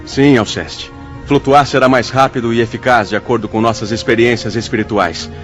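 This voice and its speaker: An actor playing a calm, decisive spirit leader in a radio drama, speaking in a measured, formal way. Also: male voice, mid-range voice, soft background music, lightly acted. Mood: calm, purposeful.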